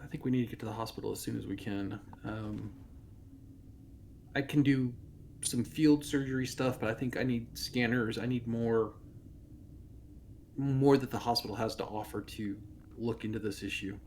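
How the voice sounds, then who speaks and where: A man speaks at a medium pitch while playing a medic in a tabletop game. He is measured and reassuring as he improvises, with faint noise on the live stream. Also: fairly even intonation, darkish tone. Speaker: tabletop player acting as medic. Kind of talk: improvised in-character game talk.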